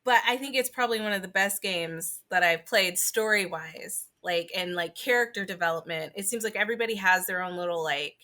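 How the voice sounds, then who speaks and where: A woman's voice: fairly low pitch, a little fast, few pauses, bright, forceful tone. A woman podcast co-host in casual chat about games, informal and sweary, peppering her speech with "like".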